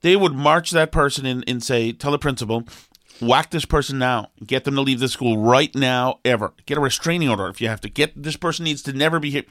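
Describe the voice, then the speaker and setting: Sniffly New man co-hosting a talk show, halting and filler-heavy, then forceful, in a mid-pitched voice on a dry, close mic. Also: no room sound. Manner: rambling, halting with fillers and restarts, then emphatic. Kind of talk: opinionated casual talk.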